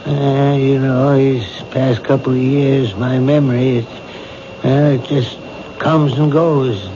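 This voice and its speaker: An actor playing a grieving, forgetful widower in a 1970s radio drama, hesitant and trailing off. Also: male voice, mid-range voice, steady tone, slow pace, close to an everyday voice. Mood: evasive, vague. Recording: dull old radio broadcast with hiss.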